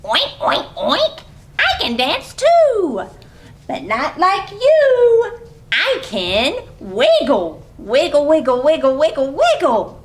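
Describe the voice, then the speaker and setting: A woman's voice: high-pitched, huge pitch swings, slow pace, heavily acted. A woman voicing a cheerful storybook pig who oinks and chants in a sing-song way. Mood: playful.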